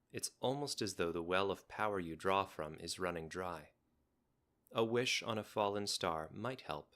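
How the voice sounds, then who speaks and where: A man narrates in a low voice with a bright, forceful edge. He reads like a storybook narrator, measured and dramatic, giving each scripted phrase its weight. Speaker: guest narrator of a game's storyline.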